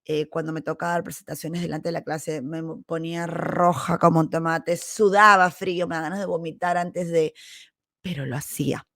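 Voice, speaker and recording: A Peruvian woman with a Peruvian accent hosting a podcast, telling personal stories in a casual, candid and animated way. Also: low-pitched, normal speed, dry recording, clean studio sound. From Peru. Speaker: podcast host. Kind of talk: casual autobiographical storytelling monologue.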